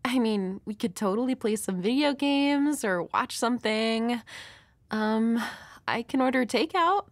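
A woman's voice: on the high side, animated, unhurried, no room sound. A woman playing a nervous, dorky young woman with a crush in an intimate roleplay audio, hesitant, stammering and apologetic. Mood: shy, flustered.

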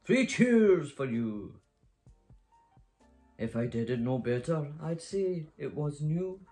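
A hearty storybook Santa, played by a woman reading aloud, calls out to his elves. He is jolly, loud and commanding, with faint music behind him. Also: sits low, expressive, slow pace. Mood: pleased. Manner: exclamatory, commanding.

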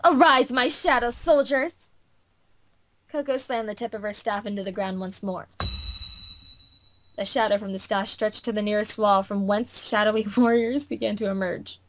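A woman narrates a fantasy visual novel at a middle pitch. She reads it like a dramatic story, in an amateur fandub style, sometimes tripping over words. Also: steady tone, normal speed.

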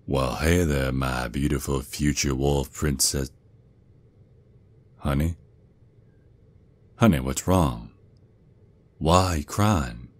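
A man playing a protective werewolf father and gruff sergeant with a Southern US accent, in an ASMR roleplay with a folksy drawl. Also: extremely low pitch, exaggerated voice. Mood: tender, concerned. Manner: doting, folksy, slow drawl with pet names.

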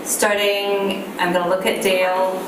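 A woman with a low voice giving a staff update in a video meeting, speaking steadily with fillers. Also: flat delivery, measured pace. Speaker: city staff presenter. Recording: roomy distant video call audio with background noise.

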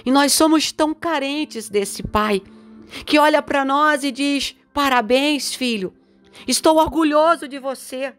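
Brazilian woman bishop, middle-aged, slow, earnest devotional sermon, a fairly high voice over faint music. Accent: Brazilian Portuguese. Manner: slow, earnest and pleading, rising on the voiced words of God. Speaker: bishop preaching a daily devotional. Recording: voice-over with soft music beneath.

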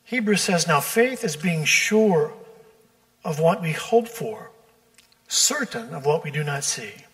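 A man preaches from the pulpit in a slightly high voice. He is measured and deliberate, drawing out key words for emphasis. Long reverb from the church sanctuary trails behind his voice. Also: big pitch swings, fairly bright tone. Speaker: pastor. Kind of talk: sermon.

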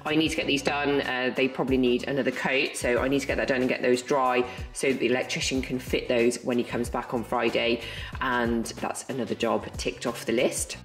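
A woman's voice: extremely low pitch, level tone, soft background music. A woman in her thirties renovating her home, talking candidly and matter-of-factly to camera. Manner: candid, matter-of-fact, brisk. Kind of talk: casual talk to camera. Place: large house under renovation, bare rooms indoors. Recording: echoey empty rooms.

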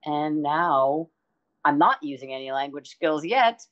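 A woman's voice: low-pitched, expressive, a little slow, lo-fi sound. A woman in her twenties from Massachusetts, answering interview questions hesitantly with frequent ums. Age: 20s.

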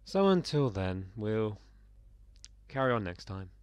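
A young man, slightly croaky, giving sarcastic, sweary commentary while playing a game. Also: fairly low pitch, very animated, slow, no room sound. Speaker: let's play gamer. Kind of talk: casual gameplay commentary.

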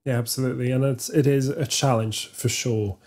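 A young man whose first language is Norwegian, with a mellow, warm tone at a middling pitch. He explains things over a video call from a small room at home, measured and a little hesitant. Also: steady tone. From Norway. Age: young adult. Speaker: podcast co-host, singer. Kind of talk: casual chat. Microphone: headphones with studio mic on video call.

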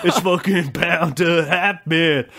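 A man's voice: fairly high pitch, steady tone, takes it slowly, sharp tone, heavily acted. A man voicing a little singing bug in a comedy podcast, a brash, foul-mouthed crooner who rambles in improvised profane verse. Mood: crude boasting.

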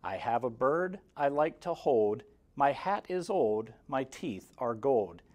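A man in his forties reading a rhyming children's picture book aloud, expressively, in a measured sing-song with clear emphasis. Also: medium pitch, sing-song, a little mellow. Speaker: guest story reader for kindergarteners. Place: indoor room, seated in front of a banner. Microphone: lapel mic.

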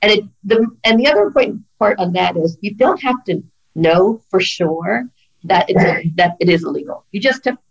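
A woman's voice: medium pitch, highs cut off. A middle-aged woman lawyer on a video call, giving earnest, emphatic advice in casual conversation. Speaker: employment lawyer guest. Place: office with brick wall. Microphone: video call webcam.

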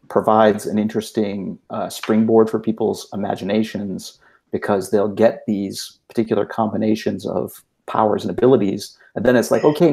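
A man giving casual, measured explanations as a podcast guest. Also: on the low side, dark tone. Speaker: podcast guest, game designer. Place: online call.

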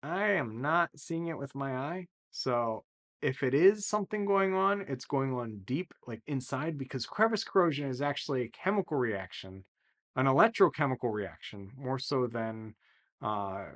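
Man in his 30s, easygoing enthusiastic explainer, mid-pitch voice, small office, desk-distance camera mic. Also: unhurried. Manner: relaxed, enthusiastic, many "really" and "uh" fillers. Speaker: sailing vlogger explaining boat repairs. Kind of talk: casual explanatory talk to camera.

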